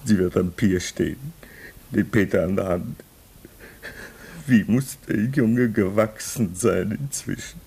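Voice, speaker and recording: A man's voice: on the low side, takes it slowly, gravelly, mellow, husky, full character voice. An actor playing a homesick, bitter man stranded at a polar station in a radio drama. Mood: wistful. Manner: bitter, despairing.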